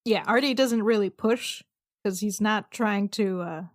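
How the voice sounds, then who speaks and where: A woman's voice: medium pitch, unhurried, no echo, clean studio sound. A woman chatting casually as a tabletop game player, describing what her character does.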